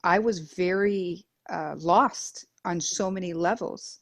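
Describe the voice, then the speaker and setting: Earnest lower-voiced middle-aged woman. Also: slow. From Denmark. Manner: earnest, repeats phrases in lists, many fillers. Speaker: guest, Reiki master and life coach. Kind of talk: reflective personal interview.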